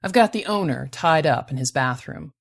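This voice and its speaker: A woman's voice: sits low, expressive, moderate pace, vocal fry, slightly rough, no room sound, full character voice. A woman voicing a wary female space bounty hunter in an audiobook, self-assured and matter-of-fact. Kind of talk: audiobook character voice.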